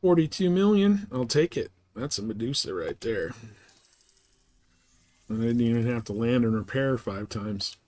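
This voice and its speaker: A man's voice: mid-range voice, animated, moderate pace, a little mellow. Folksy man chatting through a game stream. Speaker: game livestream host. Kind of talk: casual gameplay commentary, chatting to viewers.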